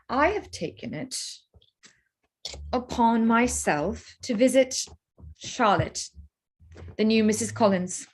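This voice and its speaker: A woman playing a wry, frank young gentlewoman in a staged reading of a period drama, speaking articulately at a medium pitch.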